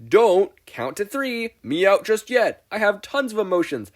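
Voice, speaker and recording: A man voicing a tiny, puppet-like salesman who is manic, loud and pushy, hawking like a pitchman in a story reading. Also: sing-song, measured pace. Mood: wheedling.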